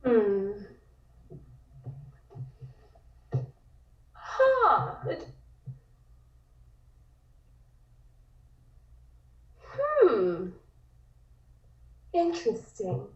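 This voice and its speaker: A woman playing a nervous, apologetic, easily startled young woman in a script table read, speaking haltingly. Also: a little high, wildly swooping pitch, exaggerated voice. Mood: hesitant. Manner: hesitant, halting. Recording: muffled, echoey, distant microphone.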